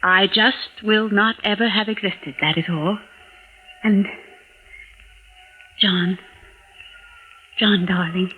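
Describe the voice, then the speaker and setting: An actress playing a tender, fading woman from the future in a 1940s radio drama, speaking haltingly and formally. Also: medium pitch, steady tone, close to an everyday voice. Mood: resigned. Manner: halting, formal, uncontracted phrasing. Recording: thin, phone-like vintage radio recording with noise.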